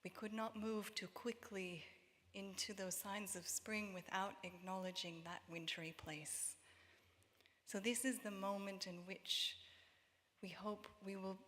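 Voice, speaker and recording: A woman with a Canadian accent speaks as a worship leader, her voice at a middling pitch. She is solemn and deliberate, setting out a prayerful reflection one phrase at a time. Long reverb from a large hall surrounds her words. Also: steady tone, a bit bright. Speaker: worship leader at a church synod. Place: large hall at a church meeting.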